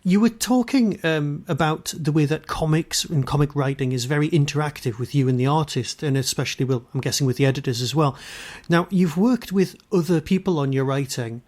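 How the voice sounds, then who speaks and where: A man's voice: fairly high pitch, brisk, hardly any pauses, a little mellow. A man hosting an interview, asking long, casual, conversational questions. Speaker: podcast host, interviewer.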